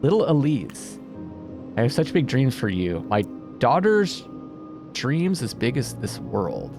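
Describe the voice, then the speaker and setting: A man's voice: medium pitch, very animated, slow. A man doing gameplay commentary, thinking aloud and exclaiming as he reads on-screen text. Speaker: let's play host. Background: atmospheric game music.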